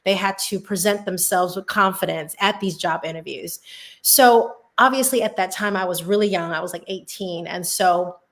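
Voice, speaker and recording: A woman's voice: on the low side, moderate pace, fairly bright tone. A woman from Hong Kong, speaking English as a second language, telling her story casually as a podcast guest. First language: Cantonese or other non-English first language.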